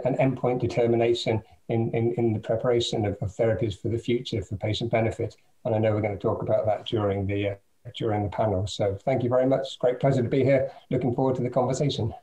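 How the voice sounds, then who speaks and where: A man, a biotech chief executive, giving a measured self-introduction on an online panel with frequent ums and repeated words. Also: mid-range voice, warm tone, rough recording. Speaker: biotech company CEO, panelist.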